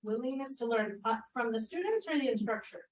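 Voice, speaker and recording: A woman's voice: fairly high pitch, animated, brisk. A middle-aged woman leading a teaching workshop in a classroom, engaging the group with questions and echoing their answers. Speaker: workshop presenter.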